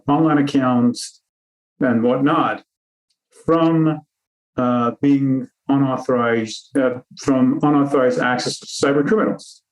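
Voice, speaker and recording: A man's voice: medium pitch, darkish tone, rough recording. Iran-born man explaining as interview guest. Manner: explanatory, measured, lists terms. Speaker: cybersecurity consultant guest. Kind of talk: interview answers over video call. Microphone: video call.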